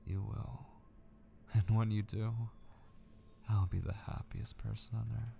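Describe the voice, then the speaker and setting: A man acting an obsessive, possessive kidnapper in an intimate ASMR roleplay, patient and menacing, hushed and often slipping into a breathy whisper. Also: fairly low pitch, little pitch movement, unhurried. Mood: coldly possessive.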